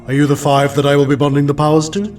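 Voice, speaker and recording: A man playing an ancient, solemn alien sage in an audio drama, speaking in a measured, deliberate way. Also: fairly high pitch, flat delivery, over loud music, close to an everyday voice. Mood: resolved, explaining.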